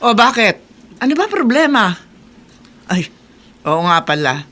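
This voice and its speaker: An actress playing a stern but caring older mother and grandmother in a radio drama, admonishing in measured words. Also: fairly high pitch, big pitch swings, fairly bright tone. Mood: reproachful. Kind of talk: Filipino radio drama acting. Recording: noisy radio drama recording.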